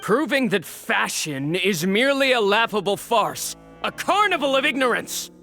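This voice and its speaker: An actor playing a bitter, jaded fashion designer in a video game, theatrical and condescending. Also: male voice, sits high, sing-song, moderate pace, creaky, sharp tone, faint music behind, exaggerated voice. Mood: scornful.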